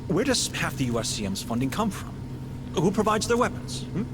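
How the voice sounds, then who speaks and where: An actor playing a smug, self-important corporate doctor in a video game cutscene, with a pompous, wheedling, condescending manner. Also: male voice, big pitch swings, a little fast. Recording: loud rumbling hum behind.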